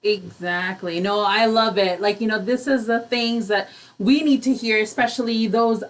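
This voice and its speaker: A woman's voice: medium pitch, fairly even intonation, normal speed, poor audio. A woman hosting a casual radio interview, agreeable and encouraging as she talks. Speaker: radio host.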